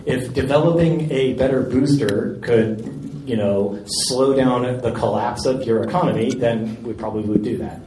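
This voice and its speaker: A man answering audience questions at a live event, jokey and animated. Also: mid-range voice, moderate pace, few pauses. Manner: jokey, animated, laughs often. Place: large indoor event hall. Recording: echoey hall, distant mic, background noise.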